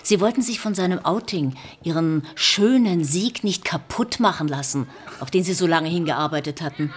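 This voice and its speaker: A woman's voice: low voice, moderate pace, a little hiss or hum, close to an everyday voice. An actress playing a middle-aged police inspector and mother in a radio drama, direct and insistent in her questioning. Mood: accusing, firm. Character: probing female police inspector, mother of grown son. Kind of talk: naturalistic German radio drama acting.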